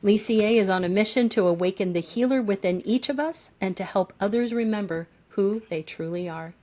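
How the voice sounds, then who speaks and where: A woman's voice: mid-range voice, a little fast, a little mellow. A woman co-hosting a podcast, reading a guest introduction and talking in an effusive, praising way. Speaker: co-host.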